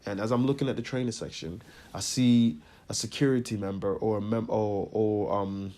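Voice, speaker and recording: A young man tells a personal story in an animated, slang-heavy rant. His voice has a mellow, dark tone. He keeps checking in with the listener as he talks. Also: lowish voice, moderate pace. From Congo. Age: young adult. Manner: slangy, animated, repeats "you know what I'm trying to say". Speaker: vlogger.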